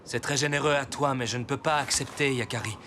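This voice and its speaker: A man's voice: medium pitch, steady tone, fast talker, pushed and bright, slight background noise, close to an everyday voice. A man voicing an earnest, proud tribesman in a French cartoon dub. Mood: dejected.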